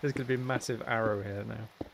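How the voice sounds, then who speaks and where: Man, gravelly, chatting on a livestream. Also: on the low side, fairly even intonation, fast talker, a little hiss or hum, low-quality recording. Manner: rambling, hesitant, thinking aloud. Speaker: streamer. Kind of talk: casual chatty gaming livestream commentary.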